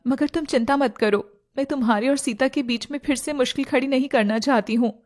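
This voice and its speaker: Woman voicing a high, coaxing young city woman. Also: fairly quick, a little mellow, lightly acted. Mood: tearful pleading. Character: pregnant young city woman, clingy, sweet-talking, pleading rival. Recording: music playing behind the voice.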